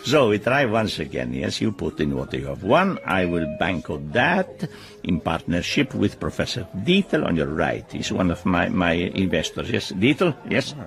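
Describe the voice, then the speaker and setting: An actor playing a theatrical, jovial yet needling Italian gambler in a radio drama, effusive and full of questioning hums, with an Italian accent. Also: male voice, low-pitched, very animated, normal speed, creaky, exaggerated voice. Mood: jovial, rueful.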